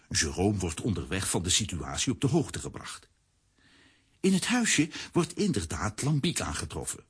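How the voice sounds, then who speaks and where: A man narrating a children's radio play in a scripted storytelling style, with a husky, raspy voice. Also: low voice, exaggerated voice.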